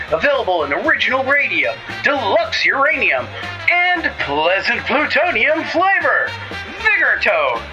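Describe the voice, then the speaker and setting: Male 1950s radio parody pitchman, shamelessly upbeat salesman, hammy, big pitch swings, loud music behind. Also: full character voice, low-quality recording. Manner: hammy, exclamatory salesman delivery.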